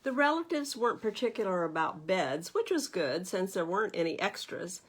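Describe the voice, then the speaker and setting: Woman, children's storytime reader, mid-pitched voice, expressive read-aloud, gentle questions to young listeners. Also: normal speed, husky.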